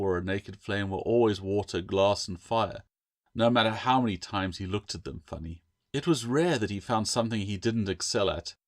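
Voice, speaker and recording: A man's voice: lowish voice, no echo, clean studio sound. A man reading a story aloud as scripted audiobook narration. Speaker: author reading own novel.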